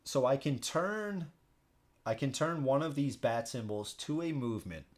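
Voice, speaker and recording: A man hosting a solo board game stream, talking informally, thinking out loud and often repeating himself as he plays. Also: mid-range voice, expressive, measured pace. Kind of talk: casual live stream commentary while playing.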